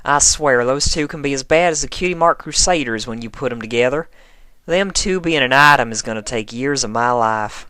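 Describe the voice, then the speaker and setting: A man's voice: mid-range voice, animated, moderate pace, bright, forceful tone, smooth, no echo, pitched above the performer's own voice. A man voicing a blunt, folksy young female farmer pony with a plain-spoken drawl. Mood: exasperated.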